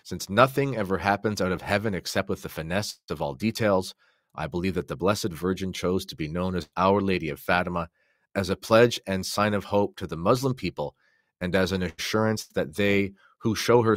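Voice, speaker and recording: A man's voice: low voice, normal speed, dry recording, studio quality. A man talking conversationally on a podcast, commenting and reading quotations aloud. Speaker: podcast guest or co-host.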